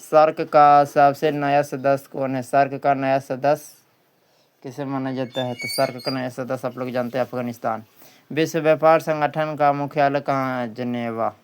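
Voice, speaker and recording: A man's voice: medium pitch, little pitch movement, normal speed, clean tone. A man with a Bihari accent teaching an exam quiz lesson, reading questions aloud, often repeating them, then giving the answers.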